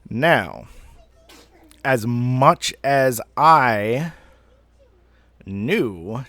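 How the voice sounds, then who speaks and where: A man in his thirties telling a personal story casually, in a rambling, halting way with some swearing. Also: mid-range voice, big pitch swings, fairly bright tone, no room sound. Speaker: amateur storyteller.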